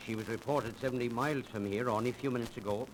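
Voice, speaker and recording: An actor playing a folksy, cheerful airfield dispatcher with colloquial, reassuring talk in a 1930s radio drama. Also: male voice, mid-range voice, fairly even intonation, quick pace, lightly acted. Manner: colloquial, reassuring, chuckling, hollering. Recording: noisy old 1930s radio recording.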